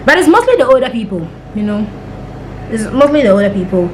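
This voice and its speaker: A woman's voice: a little high, very animated, fast, a little hiss or hum, low-quality recording. A young woman from Ghana, chatting and rambling through stories to her camera. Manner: chatty, rambling storytelling with acted-out quotes. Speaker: vlogger. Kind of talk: casual chat to camera.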